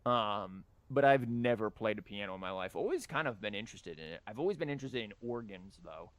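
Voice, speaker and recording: A man's voice: lowish voice, expressive, fairly quick. A man livestreaming a game, chatting casually with joking, rambling asides and reading dialogue aloud. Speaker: game streamer. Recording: music behind the voice. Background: game music.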